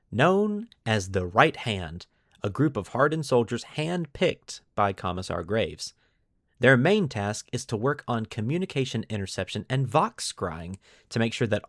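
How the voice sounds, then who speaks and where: A man's voice: mid-range voice, big pitch swings, a little slow, dry recording, studio quality. A man hosting a podcast, mixing scripted lore narration with casual commentary and putting dramatic emphasis on key words. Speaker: podcast host.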